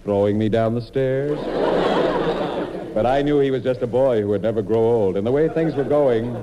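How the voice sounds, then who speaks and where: A man's voice: mid-range voice, normal speed, dull audio. A man performing scripted comedy narration on old-time radio, telling his story in a mock-earnest way. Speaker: comedian and monologist. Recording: noisy old radio broadcast recording.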